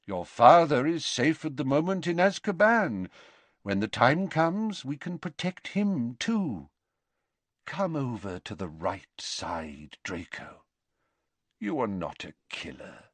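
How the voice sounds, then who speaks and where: A man voicing a wise old wizard in an audiobook, measured and polite, calm with a gently wry manner. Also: mid-range voice, no room sound, pitched above the performer's own voice. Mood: calm persuasion.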